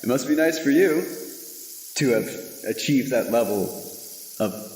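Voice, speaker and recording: A young man acting in a radio drama as a nervous, wry doomed man, speaking in a halting, awkward, sardonic way. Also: mid-range voice, animated, darkish tone, lightly acted. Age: young adult. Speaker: student voice actor. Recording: echoey reverberant room with faint noise.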